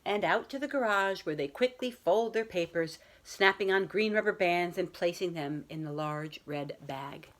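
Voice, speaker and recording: A woman's voice: on the low side, moderate pace, hardly any pauses, a little hiss or hum. A woman reading a picture book aloud as a school librarian, talking to young children in simple, explanatory phrasing.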